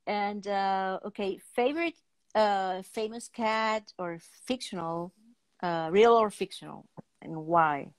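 A woman's voice: medium pitch, slow, no echo. A middle-aged woman with a foreign accent hosting a casual interview over a video call. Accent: foreign-accented English. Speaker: talk show host. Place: indoor room at home, on a video call.

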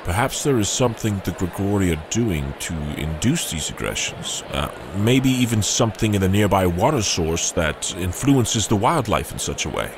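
An actor playing an earnest young warrior captain in a fantasy audio drama, speaking formally and in measured words. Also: male voice, low-pitched, big pitch swings, background noise. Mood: thoughtful, resolute.

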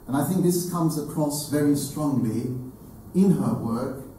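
Older male public speaker, slow and very mellow, addressing a hall formally with deliberate emphasis. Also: a little high, lively intonation. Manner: deliberate, halting, emphatic repetition. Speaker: event speaker. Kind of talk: formal tribute speech to an audience. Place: hall with seated audience, indoors. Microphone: distant camera. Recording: very echoey room, distant mic, slight noise.

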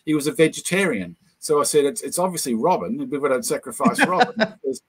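An older man with a New Zealand accent, a guest lecturer telling anecdotes over a video call, with many pauses and fillers. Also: a little high, big pitch swings, husky. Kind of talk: casual chat, storytelling.

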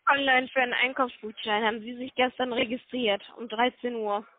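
A woman working as a telemarketer, speaking in a formal, brisk, scripted way on a sales call. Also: fairly high pitch, steady tone. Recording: dry narrow phone line.

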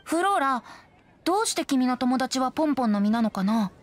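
A woman's voice: sits high, animated, a little fast. A woman voicing a youthful boy bard in an anime game, playful and easygoing. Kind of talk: Japanese anime game voice acting. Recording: music playing behind the voice.

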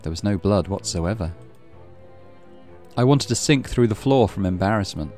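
Expressive male storyteller with lively intonation. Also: fairly low pitch, normal speed, soft background music. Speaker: game streamer reading aloud. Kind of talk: dramatic storytelling narration.

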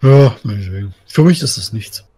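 A man talks as a podcast co-host. His voice is husky and rough, in a dry recording, and his casual talk is blunt and slangy. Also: on the low side, lively intonation, moderate pace, warm tone, no echo. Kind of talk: casual, slangy gaming chat.

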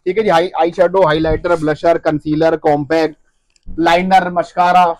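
A man in his thirties, a shop owner, rattling off products and prices in a quick, pushy sales patter, recorded on a phone camera inside his shop. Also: on the high side, flat delivery, rough recording. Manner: rapid, pushy salesman patter, repeats words. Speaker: wholesale cosmetics shop owner. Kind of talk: fast sales pitch listing prices. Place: cosmetics shop among stocked shelves, indoors. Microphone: handheld phone camera up close.

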